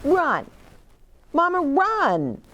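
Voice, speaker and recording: Woman putting on a high-pitched, insistent little girl. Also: wildly swooping pitch, very slow, highs cut off, heavily acted. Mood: excited demanding. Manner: high, loud exclamations. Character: insistent, clingy little girl, excited and demanding.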